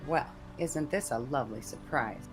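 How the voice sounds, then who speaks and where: An actress playing a spoiled, unrepentant young heiress with a breezy, sarcastic manner in a comedic radio drama. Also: female voice, rumbling low, normal speed, soft background music. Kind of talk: comedic improvised radio drama table read.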